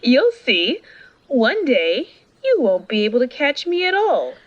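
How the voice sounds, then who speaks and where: A woman's voice: high-pitched, unhurried, no room sound. A woman playing an eager, cheeky and curious young woman in a fantasy audio drama. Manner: excitable, teasing. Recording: dull, dry close recording.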